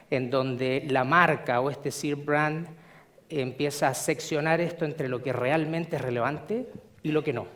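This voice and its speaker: Chilean man giving a conference talk. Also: on the high side. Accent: Chilean Spanish. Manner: measured, explanatory, frequent filler sounds. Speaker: conference speaker. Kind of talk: conference presentation. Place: conference hall.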